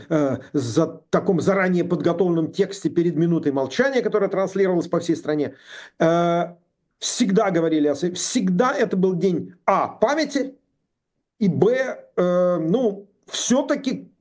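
A man in his sixties talking politics, indignant and sarcastic, with halting speech full of pauses and fillers. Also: high voice, a little mellow. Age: 60s. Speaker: political commentator. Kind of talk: political discussion.

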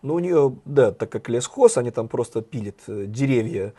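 A man with a hoarse voice co-hosting a satirical news chat, talking in a sardonic, ironic way. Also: medium pitch, animated, moderate pace, warm tone. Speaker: co-host, journalist.

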